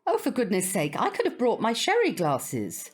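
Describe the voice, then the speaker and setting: A fussy older neighbour in an audio drama. Her voice is slightly rough and very expressive, rising and falling as she speaks. She is prim, proper and snobbish, yet keeps up her manners. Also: mid-range voice, normal speed.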